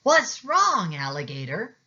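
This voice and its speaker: A woman voicing a friendly, upbeat cat in a children's storybook, in a measured singsong. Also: lowish voice, huge pitch swings, pushed and bright, dull audio, pitched above the performer's own voice, exaggerated voice. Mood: helpful. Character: friendly, cheerful blue cat, helpful and upbeat.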